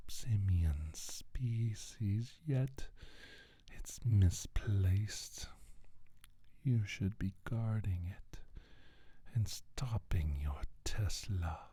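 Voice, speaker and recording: A man playing a menacing, condescending alien scientist in a slow, soft-spoken ASMR roleplay, with a breathy, husky near-whisper and deliberate, halting phrases. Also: low-pitched, dry recording, full character voice. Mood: veiled menace.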